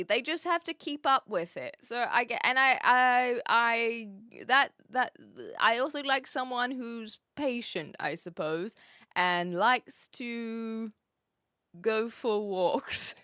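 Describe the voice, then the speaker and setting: A woman's voice: on the high side, expressive, slow pace, bright, forceful tone, clean tone, no echo, studio quality. A woman with a New Zealand accent, chatting casually on a livestream in a playful, rambling, teasing way as she answers viewers. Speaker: live streamer.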